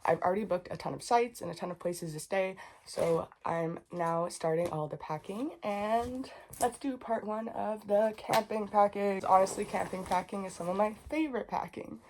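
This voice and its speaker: A woman, chatting casually and unfiltered to camera in a packing vlog, a little sniffly and raspy from a cold. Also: medium pitch, moderate pace, hardly any pauses, darkish tone, slight background noise. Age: 20s. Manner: chatty, unfiltered, rambling asides. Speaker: vlogger.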